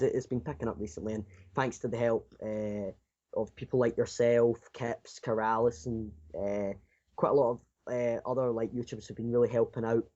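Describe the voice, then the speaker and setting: A man's voice: mid-range voice, a little mellow. Teen guy in a casual interview, rambles and repeats himself a lot, muffled lo-fi sound. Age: 16, teenager. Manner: rambling, repeats and restarts phrases. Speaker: self-taught indie game developer being interviewed. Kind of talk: casual unscripted interview answers.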